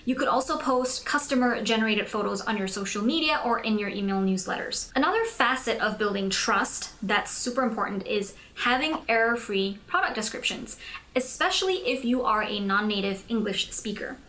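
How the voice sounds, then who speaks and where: A young woman from Malaysia hosting a tutorial, speaking in a scripted but conversational, chatty and explanatory way with clear emphasis. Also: a little high, expressive, moderate pace, fairly bright tone, a little hiss or hum. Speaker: host of a business tutorial channel.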